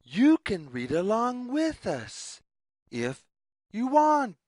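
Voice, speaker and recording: Female children's storyteller: very slow, teacherly delivery, animated pitch swings, dry close sound. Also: dry recording. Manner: very slow, deliberate, teacherly phrasing with questions to the listener. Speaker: read-aloud storyteller for young children. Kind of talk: children's story read-aloud, scripted narration.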